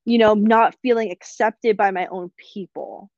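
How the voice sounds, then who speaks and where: A woman's voice: medium pitch, moderate pace, no echo, muffled recording. Laughing young woman telling stories. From United States. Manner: lively storyteller, laughing through her anecdotes. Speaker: podcast guest. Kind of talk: casual chat.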